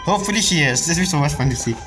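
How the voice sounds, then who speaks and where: A woman's voice: low voice, animated, rapid-fire, low-quality recording. A woman streaming a video game with casual, rambling commentary and reactions. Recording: game music behind voice.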